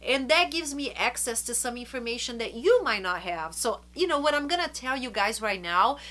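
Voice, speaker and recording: A middle-aged woman vlogger with a blocked nose from the flu, giving earnest, chatty advice to her camera and slowing down to stress her points. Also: on the high side, lively intonation, sharp tone. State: congested from a flu.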